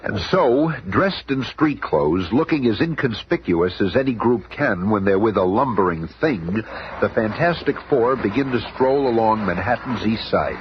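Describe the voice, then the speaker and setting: A man's voice: fairly low pitch, expressive, normal speed, lightly acted. A man narrating a 1970s radio drama as a dramatic storyteller. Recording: noisy, dull 1970s radio recording.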